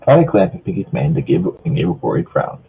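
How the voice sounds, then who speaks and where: A man's voice: low-pitched, big pitch swings, fast talker, vocal fry. A man giving an informal amateur story narration, stumbling over lines, recorded through a muffled phone-like channel. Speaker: fanfiction narrator.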